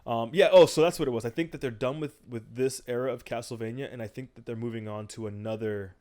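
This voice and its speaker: Guy podcast host, chatty and into his stuff, middle pitch voice, very casual. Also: fairly even intonation, fast, darkish tone. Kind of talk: casual chat about movies.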